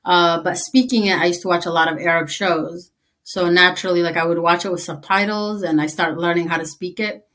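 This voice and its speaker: Woman from east africa, tigrinya first language, talks fast and chatty, kinda low voice. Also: steady tone, fairly bright tone. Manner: chatty, quick, lots of "like", laughs easily. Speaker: interview guest, army veteran. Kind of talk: conversational interview.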